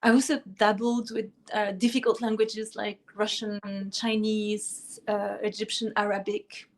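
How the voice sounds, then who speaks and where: A woman's voice: mid-range voice, flat delivery, unhurried. A middle-aged woman from France, whose first language is French, speaking in a conversational interview with light laughter and frequent restarts. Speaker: guest musician.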